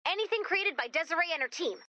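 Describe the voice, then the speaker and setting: A woman playing an eager, curious young researcher who guides players in a video game, chatty and expressive as she thinks aloud. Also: very high-pitched, quick pace, no echo, dull audio, heavily acted. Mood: wary. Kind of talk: scripted video game dialogue.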